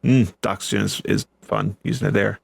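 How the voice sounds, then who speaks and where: A man's voice: lowish voice, fairly even intonation, creaky, dry recording. A man hosting a casual chat show, talking in an easygoing, jokey way. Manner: easygoing, jokey, quick to chuckle. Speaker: podcast host.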